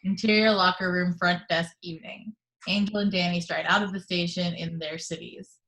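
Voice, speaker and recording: A woman reading the action descriptions at a script table read, in a plain, even manner. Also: lowish voice, normal speed, rough recording. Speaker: narrator reading stage directions.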